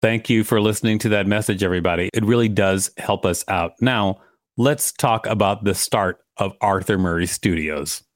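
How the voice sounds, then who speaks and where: A man's voice: on the low side, moderate pace, dry recording, clean studio sound. A man co-hosting a podcast, reading encyclopedia text aloud and adding casual remarks. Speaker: podcast co-host.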